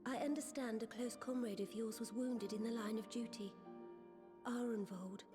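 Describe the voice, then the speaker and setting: A gracious, caring female ruler from a fantasy game, played by a woman, speaks in formal, measured phrases. Her voice sits fairly high, with music clearly behind it. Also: steady tone, natural voice. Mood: gracious, solemn. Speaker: video game voice actor.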